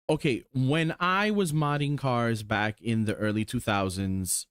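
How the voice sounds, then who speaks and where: A man in his forties chatting casually and excitedly about his sim racing cars. He rambles, swears casually and fills pauses with lots of ums. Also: medium pitch, smooth, dry recording, clean studio sound. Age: 40s. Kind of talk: casual chat while showing cars.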